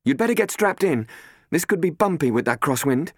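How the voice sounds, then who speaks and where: A brisk, self-assured woman who gives orders without fuss, her voice set clearly higher than the narrator's own, in a male reader's portrayal. The sound is dry and intimate. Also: no room sound. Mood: curt. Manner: curt, calm, decisive. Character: cool, commanding woman pilot.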